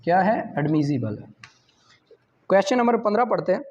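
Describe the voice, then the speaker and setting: A Pakistani-accented man teaching a law exam prep class, reading each question out slowly and then explaining it. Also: sits high, very animated, a little mellow. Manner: reads English questions slowly, explains in Urdu. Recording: slightly dull lo-fi audio.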